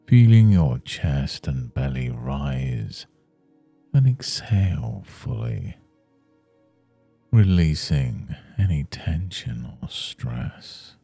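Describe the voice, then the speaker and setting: A man leads a guided meditation in an extremely deep, husky voice. He speaks slowly and soothingly, leaving long pauses between measured, scripted phrases. Soft music plays faintly behind him.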